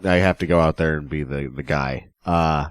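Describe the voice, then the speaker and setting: A man co-hosting a casual movie podcast, making wry deadpan jokes. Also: extremely low pitch, moderate pace, clean tone, dry recording, studio quality. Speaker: podcast co-host. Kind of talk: casual movie review chat.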